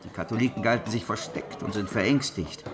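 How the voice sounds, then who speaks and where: An actor playing a grave, shrewd rebel preacher of the sixteenth century in a radio drama, speaking in a measured, earnest way. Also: male voice, fairly low pitch, a crowd in the background, natural voice. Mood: grave, resolute. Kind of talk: historical radio drama acting.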